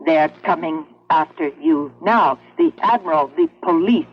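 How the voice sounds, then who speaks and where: An actress in a 1970s radio drama playing an eerie, insistent female voice of sentient metal, deliberate and coaxing. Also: sits low, slightly rough. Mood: ominous. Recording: dull lo-fi 1970s radio broadcast.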